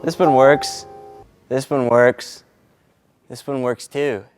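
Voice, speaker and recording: A man's voice: mid-range voice, fairly even intonation, slow pace, music in the background. A laid-back man chatting casually and informally as a sound engineer vlogging his setup and testing microphones. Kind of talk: casual vlog chat with mic checks.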